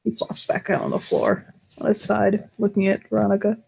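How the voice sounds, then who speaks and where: A woman's voice: mid-range voice, steady tone, vocal fry, warm tone, husky. A woman playing a cat character in a tabletop roleplaying game, laughing often and dropping into whispers. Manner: giggly, quick asides, drops into whispers. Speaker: player.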